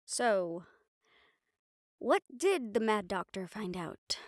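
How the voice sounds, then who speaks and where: Woman playing a slow-talking, teasing, affectionate siren. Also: mid-range voice, big pitch swings, no room sound, studio quality. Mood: mildly annoyed, wry. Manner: playful, affectionate, sighing and teasing. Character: teasing, caring female siren-banshee, fond of her partner. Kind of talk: intimate audio roleplay acting.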